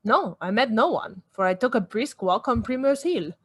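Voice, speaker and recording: A woman's voice: fairly high pitch, expressive, moderate pace, husky, dry recording. An actress playing a composed young woman under police questioning in a radio play, curt and guarded.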